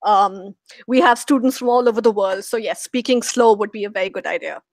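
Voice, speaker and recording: A young woman with an Indian accent, moderating a live online question-and-answer session, speaking in a measured way with small fillers. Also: medium pitch, no room sound. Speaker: moderator, program manager. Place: office.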